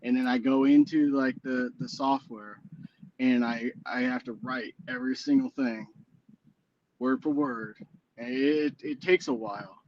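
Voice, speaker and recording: Man, forties, hesitant rambling talk, middle pitch, dull lo-fi sound. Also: measured pace, no room sound. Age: 40s. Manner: rambling, hesitant with many fillers and restarts. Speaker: guest musician. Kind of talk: casual interview chat.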